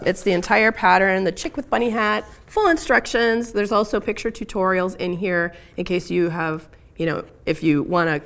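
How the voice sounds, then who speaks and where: Raspy-voiced woman teaching crochet. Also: on the low side. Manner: chatty, with frequent ums and self-corrections. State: hoarse from illness. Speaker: crochet instructor. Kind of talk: live craft tutorial.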